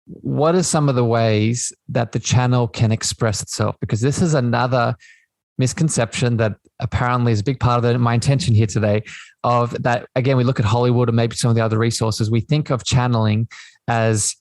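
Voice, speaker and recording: A man's voice: medium pitch, fairly even intonation, a little fast, a little mellow, no echo. A man hosting a conversational interview podcast, explaining things earnestly and at length, repeating phrases for emphasis. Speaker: podcast host.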